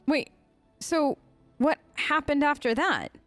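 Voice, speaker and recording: A woman's voice: high-pitched, lively intonation, slow pace, faint music behind. A woman hosting a role-play let's play, chatting casually in character in an earnest, playful way. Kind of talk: casual in-character game commentary.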